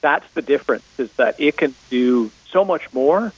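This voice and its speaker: A Canadian man with a mid-pitched voice chats as a radio guest. His delivery is informal and wry, starting and restarting phrases as he goes. The audio is slightly dull. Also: animated, moderate pace. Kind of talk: casual tech discussion.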